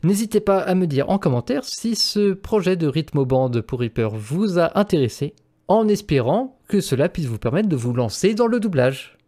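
A man's voice: fairly high pitch, sing-song, normal speed, warm tone. A man presenting an instructional screencast as a voice-over. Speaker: tutorial presenter.

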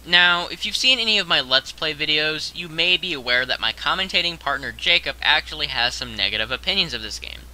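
A man's voice: a little high, animated, a little fast, hardly any pauses, bright, forceful tone, rough recording. A young man narrating a scripted top-ten countdown as host, in a conversational way that addresses the viewer directly.

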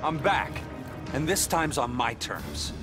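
A man playing an upbeat, quick-witted speedster superhero in a video game, light and boastful. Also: mid-range voice, huge pitch swings, over music.

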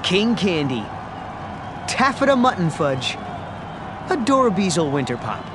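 A man narrating a children's story from a script, with expressive storytelling emphasis. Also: sits high, measured pace, background noise.

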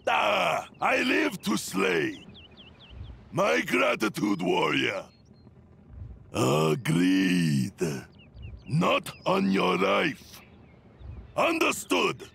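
A menacing male demon warrior from a video game, relishing slaughter. The voice is raspy and heavily gravelled, pitched high for a man. He booms out each line theatrically and very slowly. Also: fairly bright tone, exaggerated voice. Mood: boastful fury, then grand approval. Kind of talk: video game character voice lines.

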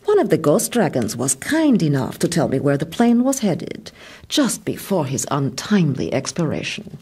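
Actress, cold assassin woman, deep husky voice, expressive pitch, formal menace, comic drama. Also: normal speed, heavily acted. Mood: cool menace. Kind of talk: audio comic drama acting.

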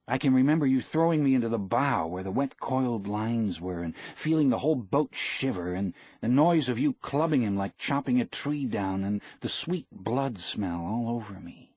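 A man's voice: mid-range voice, darkish tone, slightly rough, dry recording, highs cut off, pitched above the performer's own voice. A man voicing a loyal, affectionate boy in an audiobook, eager and respectful in manner. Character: loyal, earnest, affectionate boy fishing apprentice.

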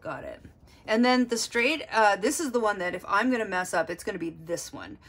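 A woman's voice: medium pitch, animated, fairly quick, very noisy. Woman art teacher talking while drawing. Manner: explains while drawing, thinking aloud. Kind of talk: step-by-step drawing lesson. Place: desk, overhead camera on drawing.

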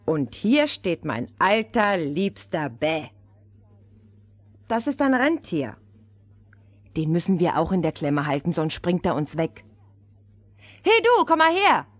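An actress playing a wild, bossy young robber girl with a husky voice in a fairy-tale audio play. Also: fairly low pitch, huge pitch swings, slow, pauses often, dry recording, full character voice. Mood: taunting.

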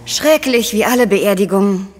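A woman's voice: medium pitch, animated, normal speed, long reverb tail, lightly acted. An actress playing an investigator's frank, sceptical female partner in a crime radio drama. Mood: subdued, uneasy.

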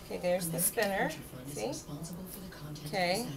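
A woman's voice: medium pitch, unhurried, creaky, crowd noise. A woman in her thirties, a mother at a kitchen table at home, patiently walking her young daughter through a board game, reading the rules aloud and counting the moves.

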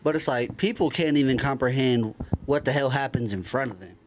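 A man's voice: mid-range voice, lively intonation, a little fast, noisy background. A young American man chatting casually on a podcast in a slangy, emphatic, rambling way. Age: young adult. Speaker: podcast panelist. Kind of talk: casual chat. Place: small furnished room indoors. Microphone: handheld microphone.

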